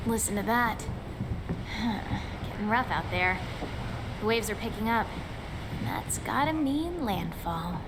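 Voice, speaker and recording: A woman's voice: medium pitch, animated, slow, long pauses. An actress playing a confident, friendly young woman, a breezy hunting partner in a video game cutscene. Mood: watchful. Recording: loud wind and wave noise.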